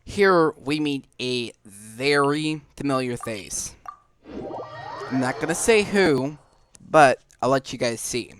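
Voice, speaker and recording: A man hosting a gaming video, explaining things informally in a halting, casual way as he plays. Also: medium pitch, expressive, a bit bright, slight background noise. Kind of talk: casual gameplay commentary. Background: faint game music.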